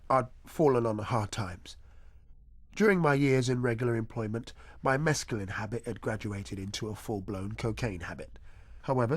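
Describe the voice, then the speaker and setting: A man playing a nostalgic, washed-up supply teacher, telling his story as a deadpan scripted comic monologue. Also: mid-range voice, dry recording, lightly acted. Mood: rueful, deadpan.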